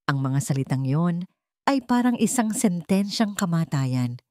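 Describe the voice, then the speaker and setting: Deep-voiced woman narrating, dry close sound. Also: sing-song, a little slow, dark tone, no echo. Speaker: story narrator. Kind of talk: scripted dramatic narration.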